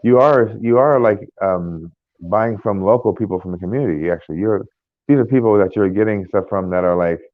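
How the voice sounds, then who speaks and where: An American man co-hosting a casual podcast, talking in a rambling, halting way with many fillers and restarts. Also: fairly low pitch, no echo. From United States. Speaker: podcast co-host. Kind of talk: casual chat. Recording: tinny phone-like line.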